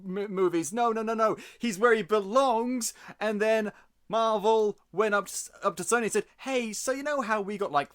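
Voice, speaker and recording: A man hosting a podcast in casual chat, theatrical and booming, jumping between voices. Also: normal speed, a bit bright. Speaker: podcast host.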